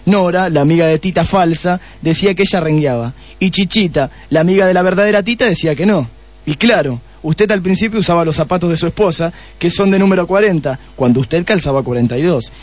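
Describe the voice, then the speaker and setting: Casting: male actor as cocky Argentine sleuth, high-pitched, self-satisfied, theatrical radio-play delivery. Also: darkish tone, no room sound, natural voice. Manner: self-satisfied, explaining with dramatic pauses. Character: cocky young male police detective, smug and theatrical. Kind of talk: comedic radio drama acting.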